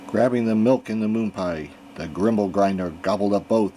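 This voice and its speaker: Deep-voiced man reading a picture book. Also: normal speed, slight background noise. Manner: storytelling, expressive. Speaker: reader. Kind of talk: picture book read-aloud.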